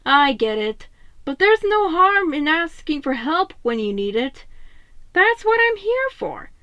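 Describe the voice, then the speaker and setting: A woman's voice: high-pitched, very animated, measured pace, sharp tone, dry recording, muffled recording, pitched above the performer's own voice, heavily acted. A woman voicing a caring, fatherly male mutant turtle big brother with a concerned, reassuring manner, in a fan fiction reading.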